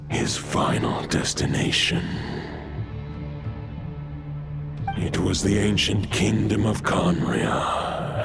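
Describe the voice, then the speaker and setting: An actor voicing an ancient great wolf spirit in a video game, grave and solemn, with a gravelly voice and formal, archaic phrasing. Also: male voice, low voice, heavily acted. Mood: grave, reflective. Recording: loud background music.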